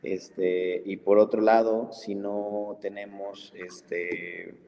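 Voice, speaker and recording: A man hosting an online talk in a relaxed, conversational way, with lots of filler words. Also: lowish voice, extremely slow, gravelly. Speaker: webinar host. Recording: long reverb, faint background noise.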